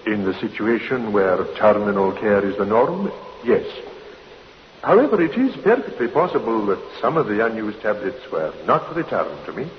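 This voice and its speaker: Low-pitched, careful doctor witness. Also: male voice, very animated, normal speed. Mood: carefully defensive. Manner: careful, precise, formal. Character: formal, defensive male doctor testifying. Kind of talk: 1950s radio courtroom drama acting. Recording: reverberant, muffled, hissy old radio recording.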